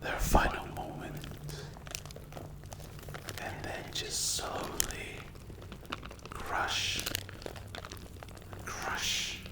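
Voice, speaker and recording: Breathy whisper, performer acting out a menacing sadistic demon, slow deliberate threats, dark fantasy ASMR roleplay, echoing room. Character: menacing sleep paralysis demon, sadistic predator with some morals.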